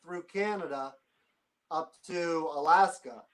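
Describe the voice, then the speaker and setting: A man's voice: a little high, slow pace. A man who is a guest on a casual chat, answering in a hesitant way with many "um"s.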